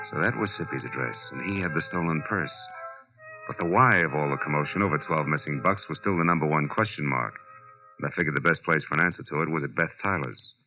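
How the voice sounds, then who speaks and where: An actor playing a wry, world-weary private detective in 1940s radio noir style, dry and sardonic. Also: male voice, extremely low pitch. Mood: brooding narration. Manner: dry, hard-boiled, sardonic. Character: wry, tough, world-weary male private detective narrating his case. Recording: muffled old radio recording with music.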